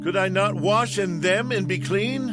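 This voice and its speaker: An actor playing a proud, earnest army commander in a dramatized scripture production. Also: male voice, sits high, moderate pace, over music, lightly acted. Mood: indignant. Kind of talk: dramatized scripture audio acting.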